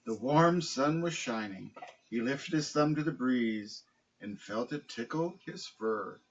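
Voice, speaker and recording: A man with a husky voice reading a children's picture book aloud. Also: mid-range voice, animated, slow, lo-fi sound, a little hiss or hum. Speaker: storybook reader, dog owner.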